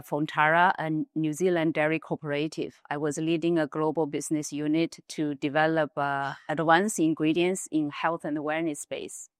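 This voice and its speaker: A woman's voice: low-pitched, dry recording, studio quality. A middle-aged woman from China with a Chinese accent, recounting her career as an interview guest. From Wuhan, China. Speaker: interview guest, business executive.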